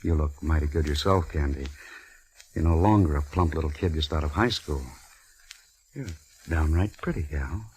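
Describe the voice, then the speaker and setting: An actor playing a smooth, self-pitying male Hollywood star, languid and flirtatious, in a 1940s radio drama. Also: a bass voice, normal speed, long pauses, darkish tone, slight background noise, low-quality recording.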